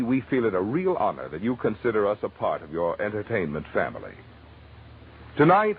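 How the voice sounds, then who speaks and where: A man announcing formally in 1940s radio style, reading a scripted sponsor message with deliberate phrasing. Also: mid-range voice, breathy edge. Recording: tinny, hissy old radio broadcast.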